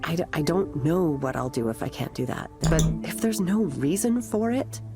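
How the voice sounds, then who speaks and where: An actress playing a discouraged, anxious artist confiding her self-doubt in a visual novel, in a halting, confessional way. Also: sits low, expressive. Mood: frustrated, hurt. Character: discouraged, anxious young woman artist in therapy. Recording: game dialogue under clear music.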